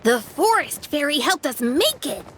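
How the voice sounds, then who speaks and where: High-voiced innocent little boy dragon. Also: very animated, measured pace, heavy background noise. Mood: eager. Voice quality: childlike, light. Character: innocent young boy dragon, small, friendly, eager. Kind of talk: English anime game dub. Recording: background music throughout.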